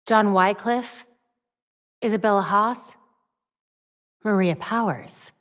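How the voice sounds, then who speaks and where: A woman's voice: medium pitch, slow pace, a bit bright, no echo, studio quality. An actress voicing a witty, determined young female vigilante and detective in scripted video game lines, with a wry, earnest delivery. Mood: wry, focused. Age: young adult.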